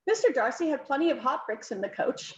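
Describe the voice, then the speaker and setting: A quick-witted young lady, voiced by a woman, soft and polite with a lively, fairly high voice. The recording is roomy and a touch muffled. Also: recorded from a distance, slight background noise.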